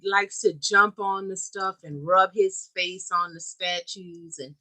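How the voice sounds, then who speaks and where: A woman's voice: lowish voice, steady tone, slow, pushed and bright. A chatty woman co-hosting a casual livestream, greeting viewers by name, recorded on a camera-mounted mic in a home toy museum room.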